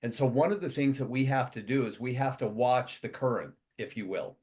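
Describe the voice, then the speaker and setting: A man from the United States gives a motivational talk to his company's members. He talks quickly and rambles, restarting sentences and reeling off long lists. His pitch sits in the middle range for a man. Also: a little mellow. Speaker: company CEO addressing members.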